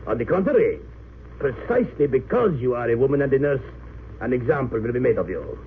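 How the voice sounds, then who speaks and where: An actor playing a cold, haughty German army lieutenant in an old radio drama, stern, formal and sneering. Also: male voice, mid-range voice, sing-song, fairly quick, lightly acted. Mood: menacing, icy. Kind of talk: 1930s-40s radio drama acting. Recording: tinny, hissy old radio recording.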